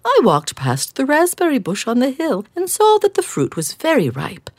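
A woman's voice: sits high, wildly swooping pitch, moderate pace, no echo, pitched above the performer's own voice, heavily acted. A woman voicing a generous, welcoming female fox in a storybook reading. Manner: gracious, welcoming.

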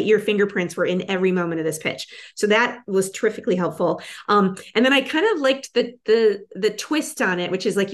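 Rambling enthusiastic woman giving feedback. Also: mid-range voice. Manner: rambling, filler-heavy, enthusiastic. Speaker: host and pitch advisor. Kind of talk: casual feedback chat.

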